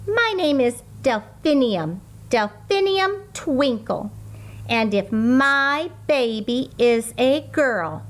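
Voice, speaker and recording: A woman voicing a dreamy, kindly female music teacher in a storybook, poised and deliberate. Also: high-pitched, expressive. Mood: gently proud.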